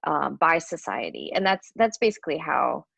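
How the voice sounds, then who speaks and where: A woman's voice: low voice, big pitch swings, normal speed, gravelly, a bit bright, husky, no echo. A woman in her forties from the United States, a psychotherapist guest speaking in a chatty, reflective way. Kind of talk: conversational interview.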